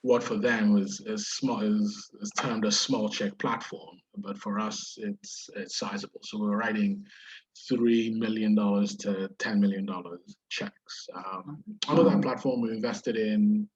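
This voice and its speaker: A man's voice: fairly low pitch, fairly even intonation, unhurried, breathy edge. A man from Nigeria with a Nigerian accent touched by British schooling, a venture investor explaining his fund, with many fillers and restarts. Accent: Nigerian with British influence. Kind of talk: webinar interview. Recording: dull lo-fi sound.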